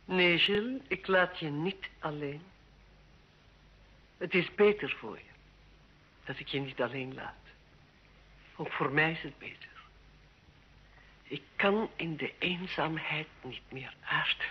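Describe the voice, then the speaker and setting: A husky, reproachful noblewoman. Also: sits low, slow pace, long pauses, exaggerated voice. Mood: pleading, sorrowful. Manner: theatrical, reproachful. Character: proud, bitter, lonely noblewoman wife pleading with her husband. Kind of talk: old Dutch radio drama acting. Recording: dull old radio play recording.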